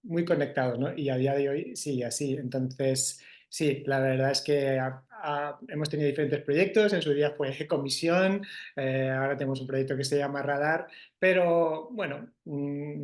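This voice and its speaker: A man's voice: on the high side, a little mellow. A man with a Spanish accent from Spain, an event organizer answering interview questions in a reflective way, often tagging his sentences with a questioning "no". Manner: reflective, frequent "¿no?" tags and restarts. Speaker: festival organizer.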